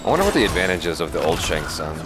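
Low-voiced man chatting over loud game music. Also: big pitch swings, rapid-fire. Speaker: reaction video host. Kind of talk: casual reaction chat. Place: small indoor streaming room. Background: loud game cutscene soundtrack.

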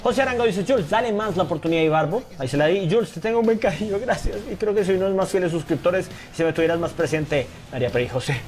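A young man giving casual, animated commentary on his football video game and reading viewer comments, often calling his audience "señores". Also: high voice, rapid-fire, hardly any pauses. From Colombia. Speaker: gaming YouTuber.

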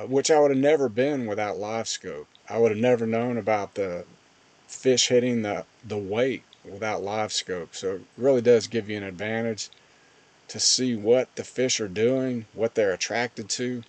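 Low-pitched man narrating fishing footage. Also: a little mellow. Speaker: fishing channel host. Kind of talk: casual voice-over commentary on fishing footage.

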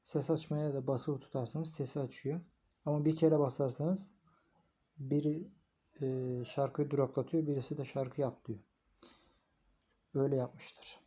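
A man's voice: medium pitch, fairly even intonation, normal speed, long pauses, dark tone, husky. A man giving a casual, hands-on product review, thinking aloud informally as he records on a phone. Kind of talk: casual hands-on unboxing and installation commentary.

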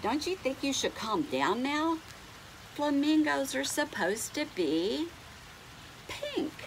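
Woman voicing a fussy storybook flamingo, scolding, exclaiming, swooping pitch, leisurely pace, noisy home recording. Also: big pitch swings, takes it slowly, full character voice. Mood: admonishing. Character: scolding, fussy flock of young flamingo friends.

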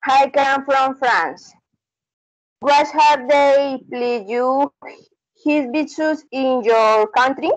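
A woman in her twenties with a Latin American Spanish accent, practicing scripted English conversation as a careful, halting learner on a video call. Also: sits high, fairly even intonation, dry recording, low-quality recording. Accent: Spanish-speaking Latin American. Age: 20s.

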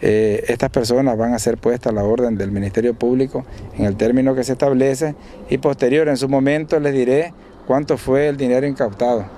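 Middle-aged police commander, outdoor roadside presser, mellow, measured official tone, faint ambient noise. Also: male voice, mid-range voice, fairly even intonation. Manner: measured, official, halting restarts. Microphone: handheld reporter mics.

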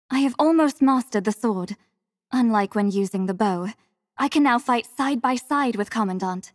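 A woman's voice: mid-range voice, a little mellow, no echo, clean studio sound, close to an everyday voice. A woman voicing a courteous, devoted female android swordswoman captain in a video game, formal and earnest in manner. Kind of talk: scripted video game character lines.

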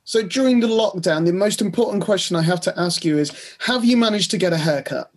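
A middle-aged man with a husky voice co-hosts a podcast. He talks in a casual, chatty way over a video call. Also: sits high, a little fast. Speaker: podcast host. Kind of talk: casual chat. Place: indoors, home room.

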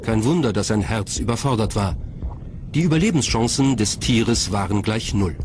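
A man's voice: fairly low pitch, animated, moderate pace, noisy background. A man reading scripted documentary narration as the narrator.

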